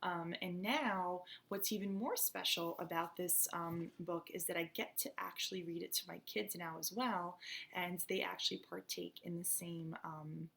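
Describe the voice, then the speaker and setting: A school principal, a woman born in the United States, talks in a relaxed, chatty way with many fillers as she introduces and reads a storybook aloud to children. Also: lowish voice, moderate pace, creaky.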